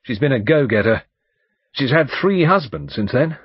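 A man's voice: medium pitch, expressive, moderate pace, slightly rough, dry recording, highs cut off, pitched above the performer's own voice. A man voicing a bluff, urbane and overbearing English barrister in an audiobook, breezy, emphatic and chatty. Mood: breezy, animated. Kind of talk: audiobook character dialogue.

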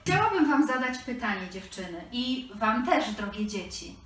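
A woman's voice: on the high side, sing-song, moderate pace, fairly bright tone, soft background music. A middle-aged woman presenting to children in a storytelling, teacherly style. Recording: roomy echo, slightly distant mic.

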